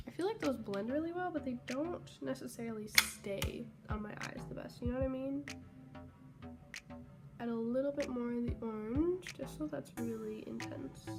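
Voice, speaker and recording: Young woman, slightly high voice, congested from a cold, chatty and casual, soft half-whispers, music underneath. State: congested, losing her voice from a cold. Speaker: beauty vlogger. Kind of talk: casual makeup tutorial narration.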